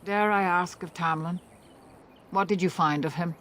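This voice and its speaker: A fantasy game's elven matriarch, acted by a woman speaks with grave composure and formal authority. Her delivery is measured, and faint outdoor ambience sits behind the voice. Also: fairly low pitch, a bit bright, a little hiss or hum, close to an everyday voice. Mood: composed, grave. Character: grave, composed elven female clan elder and leader. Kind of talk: fantasy video game dialogue.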